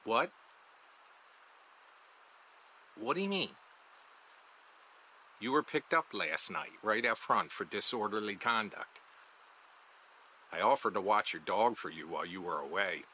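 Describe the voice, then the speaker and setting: An actor playing an ominous, secretive neighbor in an audio drama, talking in short deliberate phrases. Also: male voice, fairly low pitch, lively intonation, sharp tone, slight background noise, low-quality recording. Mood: feigned innocence. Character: ominous, guilt-ridden male neighbor with secrets.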